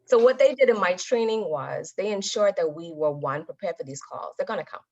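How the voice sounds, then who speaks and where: A woman giving a motivational public talk as a guest speaker, with a deliberate, emphatic, instructive delivery. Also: fairly low pitch, expressive, darkish tone. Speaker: guest speaker, Toastmasters member. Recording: dull, lo-fi audio.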